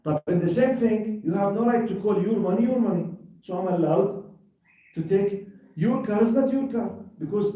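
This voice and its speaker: A man teaches a religious lesson on scripture, pressing his point through rhetorical questions and simple everyday examples. His voice is dark and mellow. It is picked up from a distance, in a room that rings with heavy echo. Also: high-pitched. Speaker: lecturer giving Quran commentary. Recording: very echoey room, distant mic.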